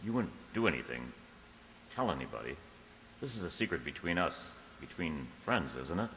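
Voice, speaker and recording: Man acting a deep-voiced, anxious lovelorn man. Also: big pitch swings, normal speed, pushed and bright, a little hiss or hum, exaggerated voice. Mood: uneasy. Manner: hesitant, stammering, pleading. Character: anxious lovelorn man named Charles, earnest and desperate. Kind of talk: radio drama acting with diary narration. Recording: long hall reverb, music underneath.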